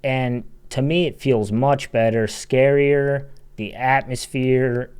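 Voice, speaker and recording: A man's voice: medium pitch, steady tone, slow pace, a little mellow, clear, resonant tone. A man delivering emphatic, opinionated video essay commentary as a game critic.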